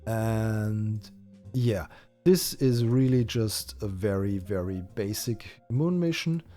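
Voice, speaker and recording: A man's voice: lowish voice, slow pace, warm tone, faint music behind. A man whose first language is German, chatting through a live game stream and thinking aloud with many fillers and restarts. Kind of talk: live-stream gameplay chat.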